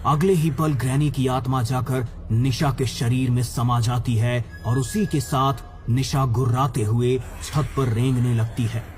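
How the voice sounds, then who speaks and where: A man's voice: medium pitch, fairly even intonation, a little slow, hardly any pauses, a little mellow. A man narrating a scripted horror story for a cartoon. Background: background music.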